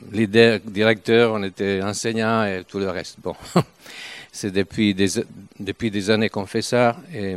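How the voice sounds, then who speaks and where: A man in his seventies from Italy with an Italian accent, giving an informal sermon in a halting way, with frequent restarts and pauses. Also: lowish voice, clear, resonant tone, no echo. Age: 70s. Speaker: preacher.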